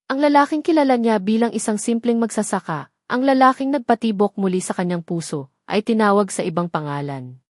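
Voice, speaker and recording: A woman's voice: mid-range voice, a little fast, no echo. Woman narrating a dramatic romance story, dry sound.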